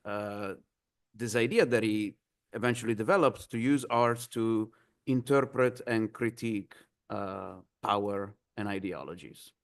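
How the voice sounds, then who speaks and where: A man's voice: fairly low pitch, unhurried. A man moderating a panel conversation, speaking hesitantly with many "uh"s.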